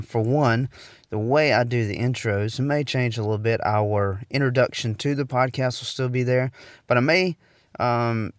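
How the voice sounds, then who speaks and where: A man's voice: lowish voice, clear, resonant tone, no room sound, clean studio sound. A young man with a stuffy, slightly nasal voice, hosting a casual solo podcast and rambling with many ums and restarts. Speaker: podcast host.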